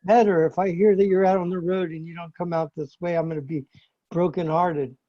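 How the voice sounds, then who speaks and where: A man's voice: high-pitched, steady tone, fairly quick, background noise. A man chatting casually over a lo-fi video call. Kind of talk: casual chat.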